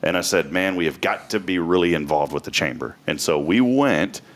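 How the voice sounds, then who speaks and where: Very deep-voiced upbeat male host. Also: fairly quick. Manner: upbeat, chatty, folksy. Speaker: morning show host. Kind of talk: casual morning show chat.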